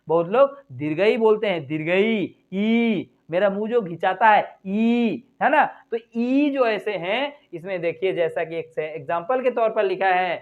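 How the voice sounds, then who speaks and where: A man with an Indian accent teaching an English lesson, encouraging and repetitive, stretching out example sounds to show pitch. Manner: encouraging, repeats himself and keeps addressing viewers as friends.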